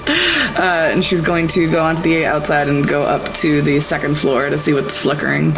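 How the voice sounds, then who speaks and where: A woman's voice: low-pitched, normal speed, gravelly, over music, low-quality recording. A woman playing a tabletop game, casually improvising and describing what her character does. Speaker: tabletop player.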